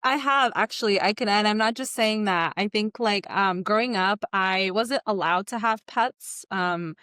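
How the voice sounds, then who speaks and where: A young woman from Egypt guests on a podcast, rambling through her thoughts with many "like" fillers. Her voice is smooth and clear, and the sound is dry and close. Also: mid-range voice, normal speed, a bit bright, no echo. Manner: rambling, heavy "like" fillers, restarts. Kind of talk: casual podcast chat.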